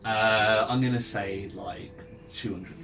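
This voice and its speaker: A man running a tabletop game, narrating off the cuff in a halting, thinking-aloud way. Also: fairly low pitch, steady tone, fairly bright tone. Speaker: game master. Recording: music playing behind. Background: ambient music.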